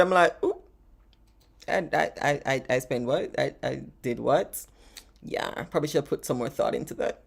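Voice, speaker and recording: A woman's voice: deep voice, vocal fry. A woman with a Jamaican accent, chatting casually and rambling as she answers live-stream questions, often laughing and repeating words. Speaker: travel vlogger answering live chat questions.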